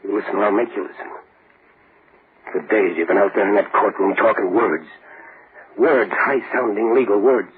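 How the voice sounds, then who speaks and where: A gruff desperate husband out for revenge, old radio drama, tinny sound. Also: male voice, lowish voice, normal speed, long pauses. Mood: grim, demanding. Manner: intense, gruff, broken by grief. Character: desperate vengeful man accused of murdering wife. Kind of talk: 1940s radio acting.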